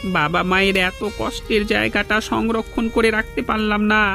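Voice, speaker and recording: Man voicing an excitable high-pitched cartoon village thief. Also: normal speed, vocal fry, faint music behind, exaggerated voice. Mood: regretful. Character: reformed village thief, excitable, aggrieved husband. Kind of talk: Bengali cartoon voice acting.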